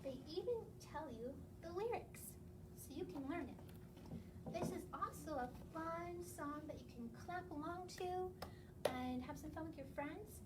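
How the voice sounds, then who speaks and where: Very high-pitched woman reading to children, distant mic. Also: expressive, unhurried. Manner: playful, questioning asides to young listeners. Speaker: library story time host. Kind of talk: children's read-aloud with sing-along rhymes and asides to listeners. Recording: distant mic with clear background noise.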